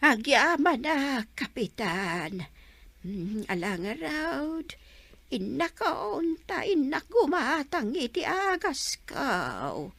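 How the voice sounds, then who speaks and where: Radio drama role: frail old Ilocano mother, played by a woman, plaintive, gravelly, extremely unhurried delivery. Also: high-pitched, big pitch swings, a bit bright, no echo, exaggerated voice. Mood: worried, plaintive. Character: frail, worried old village mother on a pension. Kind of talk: Filipino radio comedy drama acting.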